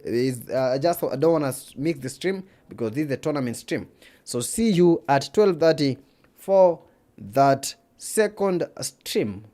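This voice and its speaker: A man's voice: medium pitch, animated, unhurried, mellow. A man with an East African accent and Swahili as his first language, hosting an esports livestream in casual commentary, repeating phrases for emphasis.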